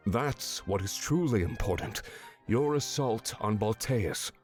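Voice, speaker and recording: A man plays a stern, guarded warrior mentor from a fantasy game, with a fairly low voice. He speaks in solemn, measured lessons weighed down by remorse, over faint music. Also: expressive, a little mellow. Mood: stern lecturing. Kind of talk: scripted video game character acting.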